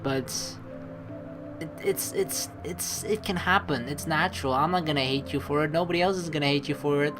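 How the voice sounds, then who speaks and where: A man's voice: on the high side, brisk. A man hosting a let's play, informal and rambling, moving between casual commentary and reading story text aloud. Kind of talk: casual game commentary mixed with dramatic read-aloud narration. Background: game music.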